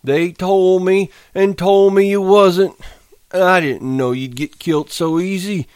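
A man voicing a big, slow-witted, childlike ranch hand who speaks in a drawling, plaintive way. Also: mid-range voice, expressive, a little mellow, no echo, studio quality, pitched above the performer's own voice, lightly acted. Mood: grieving. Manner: drawling, plaintive, simple speech.

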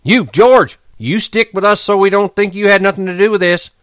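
A man voicing a furious, hotheaded young ranch boss's son who shouts high and hard. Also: very animated, brisk, no room sound, clean studio sound, exaggerated voice.

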